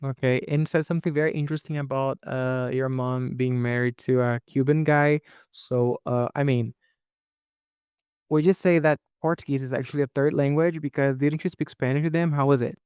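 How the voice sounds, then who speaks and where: A man's voice: mid-range voice, fairly even intonation, moderate pace, a little mellow, clean tone, dry recording, clean studio sound. A man hosting an interview, explaining things and asking long, rambling questions. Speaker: podcast host.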